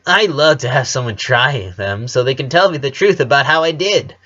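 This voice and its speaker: A man's voice: mid-range voice, lively intonation, moderate pace, bright, forceful tone, dull audio. A man voicing a defensive, bashful sporty tomboy sister in a story reading. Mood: forced calm. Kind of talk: storybook character voice.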